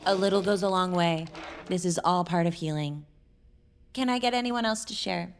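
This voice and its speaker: A patient woman, played by an actress leads a support group in an audio drama. Her voice sits slightly low and is gentle and encouraging. There is faint noise in the recording. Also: normal speed, lightly acted.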